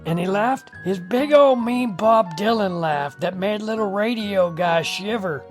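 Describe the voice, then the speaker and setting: A man's voice: sits high, unhurried, music in the background. A man hosting a radio show, telling comic stories in a folksy, mock-solemn way.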